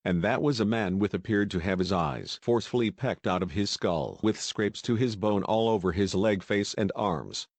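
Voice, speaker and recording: A man playing a shaken eyewitness survivor, giving scripted testimony in a deliberate, recited manner for a news interview. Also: lowish voice, no echo. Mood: grim, recounting.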